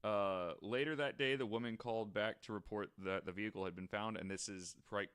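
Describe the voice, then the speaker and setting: Low-voiced man, casual podcast storyteller. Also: a little fast, a bit bright, clean tone. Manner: casual, profane, wry. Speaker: podcast co-host. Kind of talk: casual chat, reading out short funny news items.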